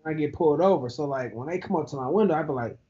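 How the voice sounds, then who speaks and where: A man, telling an animated story in a casual podcast chat. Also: very fast, lo-fi sound. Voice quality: high-pitched voice. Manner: animated storyteller who laughs easily.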